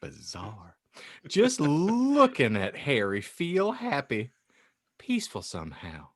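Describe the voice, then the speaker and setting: A man narrates in a dramatic, mock-grand storytelling style. His voice sits at a middle pitch and moves at an unhurried pace. The sound is dry and close, and he is playful with it. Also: wildly swooping pitch, dry recording. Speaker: podcast host and narrator. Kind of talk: theatrical audiobook reading with joking asides.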